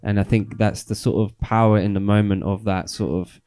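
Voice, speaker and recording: A man in his thirties co-hosting a radio show, chatting in a relaxed way about dance music in a small studio, talking into a handheld mic. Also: fairly low pitch, normal speed, clear, resonant tone. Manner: relaxed, laughs often, rambling with restarts.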